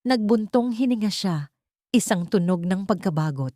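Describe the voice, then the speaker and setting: A woman's voice: mid-range voice, animated, moderate pace, dark tone, no room sound, studio quality. A woman narrating a scripted dramatic story as an audiobook storyteller.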